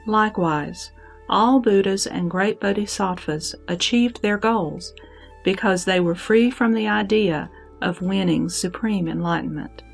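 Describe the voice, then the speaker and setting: A woman's voice: mid-range voice, creaky. Serene, wise goddess voiced by a woman, music behind. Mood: calm, assured. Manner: measured, didactic, declamatory. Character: serene, wise heavenly goddess teaching with calm authority. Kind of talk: scripted sutra audio drama. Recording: background music under the voice.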